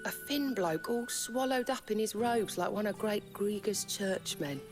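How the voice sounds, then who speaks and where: A woman's voice: mid-range voice, unhurried, music in the background, lightly acted. An actress playing a plain-spoken village woman in a video game, with rustic, colloquial speech. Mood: matter-of-fact. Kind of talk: video game cutscene acting.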